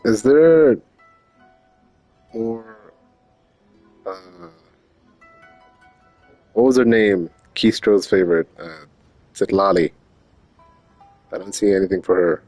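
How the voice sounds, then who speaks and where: A man with a fairly low voice chats casually on a game stream. His way of talking is slangy and informal, with the odd swear word. Faint music plays in the background. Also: slow, long pauses, a little mellow, no echo. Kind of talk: casual livestream chat.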